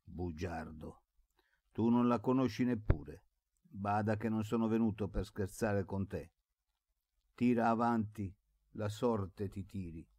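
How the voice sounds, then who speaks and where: A man voicing a stern old blind man in a storybook, gruff, impatient and scolding. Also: on the low side, unhurried, dark tone, no echo. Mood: severe.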